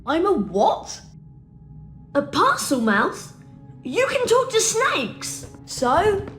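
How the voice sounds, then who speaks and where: A woman playing an excitable young schoolboy wizard in a video game. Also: very high voice, extremely slow, faint music behind, exaggerated voice. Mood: incredulous.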